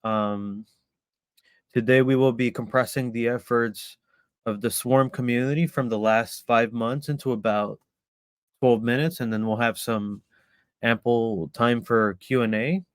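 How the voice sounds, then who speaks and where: Smooth-voiced man presenting with many pauses. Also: medium pitch, no room sound, studio quality. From El Salvador. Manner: hesitant, many ums and restarts. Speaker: community founder presenting. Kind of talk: live online presentation.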